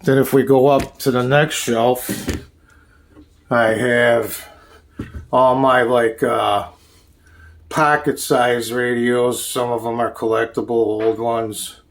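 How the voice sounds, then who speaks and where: A man giving a casual, unscripted show-and-tell as a hobbyist, halting often and searching for names as he goes. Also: medium pitch, steady tone. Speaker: hobbyist showing a radio collection.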